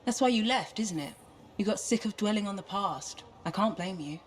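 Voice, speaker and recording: A woman's voice: mid-range voice, animated, normal speed, lightly acted. An actress playing a curious, determined female mission specialist in a video game, with a wry, questioning manner. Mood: reflective. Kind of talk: video game cutscene acting.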